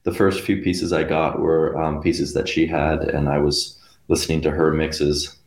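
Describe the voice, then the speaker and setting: A man's voice: extremely low pitch, flat delivery, measured pace. A young man from Canada, a guest musician chatting casually in an interview, rambling with lots of fillers and laughing between phrases. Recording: roomy echoey room.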